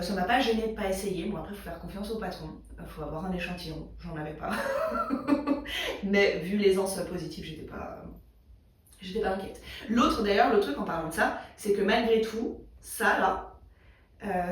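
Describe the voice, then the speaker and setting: A woman hosting a knitting video in a casual, rambling chat, with a slightly congested throat and lots of fillers. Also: medium pitch. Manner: rambling, many fillers and restarts. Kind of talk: casual chat thinking aloud. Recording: echoey room, mic far away.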